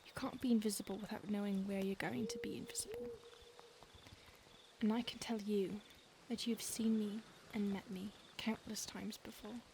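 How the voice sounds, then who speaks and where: A woman voicing a centuries-old female vampire stalker in an audio roleplay, speaking softly and often in a whisper, calm and menacing. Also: medium pitch, moderate pace, close to an everyday voice. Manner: soft, intimate, often whispered. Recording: noisy background hiss.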